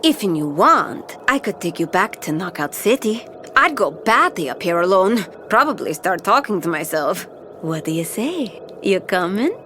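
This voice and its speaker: A woman's voice: medium pitch, sing-song, moderate pace, bright, forceful tone, slight background noise. An actress playing a bold, impatient female pirate captain in a cartoon, with a commanding, theatrical delivery and seafaring slang. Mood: softly offering.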